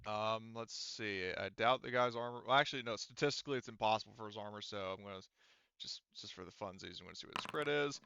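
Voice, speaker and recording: A man narrating and calling rules as game master of a tabletop roleplaying game, in a casual, halting way with trailing thoughts. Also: on the low side, gravelly, bright, forceful tone, clean tone, dry recording, muffled recording.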